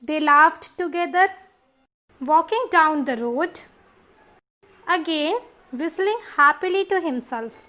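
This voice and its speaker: A woman reading a scripted children's story as a storyteller and teacher, slowly and deliberately. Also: high voice, lively intonation, bright, forceful tone.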